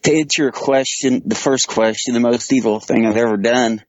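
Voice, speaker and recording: A man's voice: medium pitch, fairly even intonation, moderate pace, darkish tone, no room sound. A man calling in casually to a radio show from inside a parked truck. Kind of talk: casual chat. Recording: dull lo-fi internet call.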